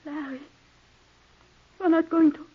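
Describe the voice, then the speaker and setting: A woman's voice: high voice, level tone, slow pace. An actress playing a devoted young foreign woman in love, earnest and pleading, in a 1940s radio drama. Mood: tearful devotion. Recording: dull 1940s radio broadcast recording.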